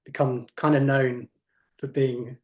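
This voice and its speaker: Halting man with many ums. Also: mid-range voice, flat delivery. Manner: halting, many fillers and restarts, trails off quietly. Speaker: artist manager. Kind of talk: casual panel introduction. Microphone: video call.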